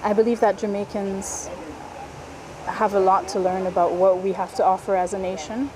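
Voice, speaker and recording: A woman's voice: mid-range voice, flat delivery, moderate pace, background noise. A Haitian woman answering interview questions thoughtfully, with a few restarts. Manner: thoughtful, some restarts, hopeful.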